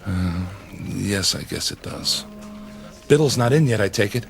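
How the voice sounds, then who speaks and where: Low-voiced, meek office worker. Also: male voice, expressive, unhurried, creaky, slight background noise. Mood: mild, chatty. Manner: hesitant, deferential, polite. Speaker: actor. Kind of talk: old-time radio style drama acting.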